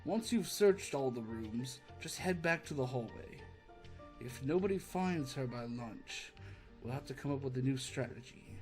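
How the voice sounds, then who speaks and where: A man's voice: medium pitch, lively intonation, normal speed, faint music behind, close to an everyday voice. A man voicing an organized, take-charge cartoon pony detective with a polite, commanding manner. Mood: brisk, organizing.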